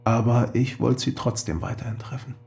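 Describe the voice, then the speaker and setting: A man's voice: mid-range voice, moderate pace, creaky, darkish tone, close to an everyday voice. An actor playing an earnest, persuasive male friend in a radio drama.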